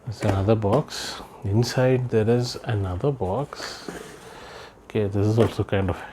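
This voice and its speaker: A man's voice: lowish voice, unhurried, noisy background. A man doing a casual unboxing vlog indoors, thinking aloud with lots of fillers as he handles the product. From India. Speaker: unboxing vlogger. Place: indoors, room with patterned floor rug.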